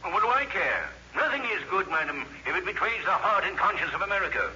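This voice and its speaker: High, sharp, pompous blustering businessman. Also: big pitch swings, fast talker, exaggerated voice. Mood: pompous, indignant. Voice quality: oratorical, hesitant when flustered. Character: pompous, blustering middle-aged small-town businessman, flustered. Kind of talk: 1950s radio comedy acting. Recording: muffled, noisy old radio broadcast.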